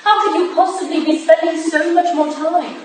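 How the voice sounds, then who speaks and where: A woman's voice: very high-pitched, fairly even intonation, moderate pace. A woman playing a hurt, suspicious Victorian wife in a staged reading, pleading earnestly with her husband. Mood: wounded, accusing. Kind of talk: staged reading of a period drama. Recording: distant, very echoey room, faint noise.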